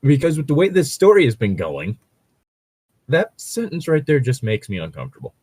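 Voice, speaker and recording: A man's voice: mid-range voice, wildly swooping pitch, normal speed, a little mellow, breathy edge, no echo. A man casually reading and critiquing a story as a podcast host, rambling, sweary and critical. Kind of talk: casual commentary with read-aloud passages.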